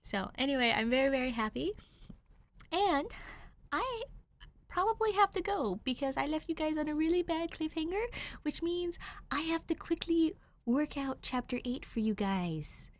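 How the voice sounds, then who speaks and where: A woman reading fan fiction aloud, expressive and chatty, excitable when she talks to her listeners. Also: high-pitched, moderate pace. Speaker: fan fiction audiobook reader. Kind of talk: reading fiction aloud, then casual chat.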